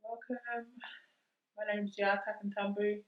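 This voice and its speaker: Woman from Papua New Guinea, halting delivery, recorded from a distance in a roomy space with a dull, muffled tone. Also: medium pitch, level tone, a little hiss or hum. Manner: halting, searching for words. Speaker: storyteller in a community film. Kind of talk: slow personal recollection.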